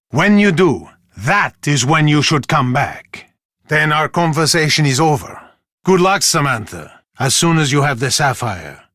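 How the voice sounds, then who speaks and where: Suave, lilting Italian schemer. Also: male voice, fairly high pitch, fairly bright tone, dry recording, clean studio sound, exaggerated voice. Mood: courteous, businesslike. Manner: suave, formal, courteous. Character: charming, flirtatious, superstitious Venetian male card-playing crime broker. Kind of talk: theatrical video game dialogue.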